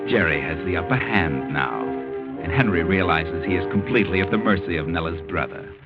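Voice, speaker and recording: A deep, grave male storyteller from a 1940s radio mystery speaks in terse, dramatic phrases. Loud music runs under his muffled vintage recording. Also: animated, fairly quick. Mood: grave, ominous.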